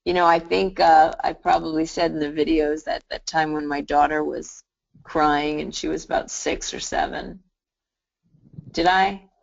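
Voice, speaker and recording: A woman's voice: deep voice, steady tone, unhurried, gravelly, dull audio. A middle-aged woman teaching hypnotherapy on a live online call, telling stories in a chatty way with many restarts and "you know". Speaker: hypnotherapy instructor.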